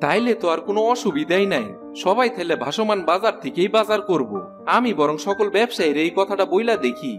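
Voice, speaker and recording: An eager, good-natured village husband from a cartoon, with a high voice and a rural Bangladeshi accent. His talk is folksy and earnest. Music plays clearly behind him. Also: expressive, brisk. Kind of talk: Bengali cartoon fairy-tale acting.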